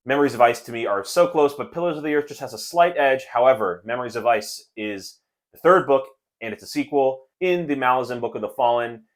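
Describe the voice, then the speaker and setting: A man hosting a casual book chat for viewers, speaking in an enthusiastic, positive way. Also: mid-range voice. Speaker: book reviewer and video host.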